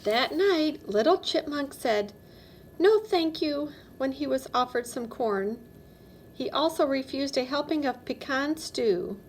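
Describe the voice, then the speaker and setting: High, animated woman reading a children's story. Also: measured pace, a little hiss or hum, rough recording. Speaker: storybook reader. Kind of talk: expressive children's story narration.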